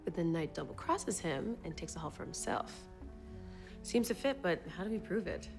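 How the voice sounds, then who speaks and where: A lively woman sleuth from a 1920s drama. Her voice sits a little low but swings widely, direct and excitable, with clear music underneath. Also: normal speed. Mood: engaged. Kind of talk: television period drama acting.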